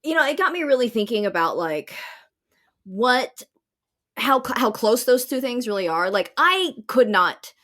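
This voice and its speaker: A woman's voice: a little high, sing-song, unhurried, fairly bright tone. An American woman chatting casually as a podcast co-host in an informal, conversational way. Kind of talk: casual chat.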